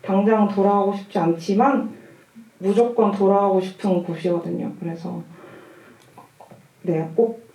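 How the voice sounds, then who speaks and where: Roomy distant sound, woman, mellow tone, film director, reflective, Q&A answers. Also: lowish voice. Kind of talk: audience Q&A talk. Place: cinema hall at a festival Q&A. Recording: roomy, distant, muffled camera audio.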